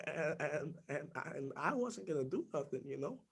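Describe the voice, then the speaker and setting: Male actor as a high, bright-voiced, stammering teen boy, volatile and rambling. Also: animated, normal speed. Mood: hushed. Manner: stammering, repeats words, filler-heavy. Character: nervous seventeen-year-old boy, rambling and volatile. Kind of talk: dramatic monologue.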